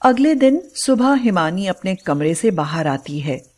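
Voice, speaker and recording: Slow-paced female story narrator. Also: medium pitch, big pitch swings, darkish tone, a little hiss or hum. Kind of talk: scripted dramatic story narration.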